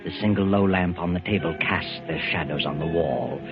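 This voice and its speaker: Ominous storyteller, deep voice, music behind, old radio drama. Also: male voice, moderate pace. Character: ominous male narrator of a mystery tale. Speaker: narrator. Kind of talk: 1940s radio drama narration. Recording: dull lo-fi radio recording with music.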